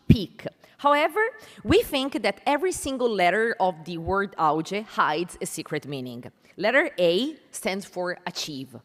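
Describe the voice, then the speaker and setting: A woman with an Italian accent moderating a conference panel, speaking emphatically and deliberately to an audience and putting questions to them. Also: on the low side, a bit bright. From Italy. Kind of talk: conference stage presentation addressing an audience. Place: large indoor conference hall. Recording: reverberant hall sound.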